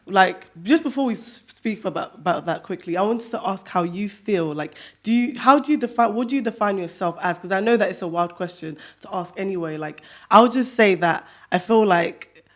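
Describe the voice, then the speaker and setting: A woman's voice: mid-range voice, moderate pace. A young woman co-hosting a casual podcast, speaking frankly and agreeing often with the others. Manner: agreeable, frank, lots of backchannel. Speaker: podcast co-host.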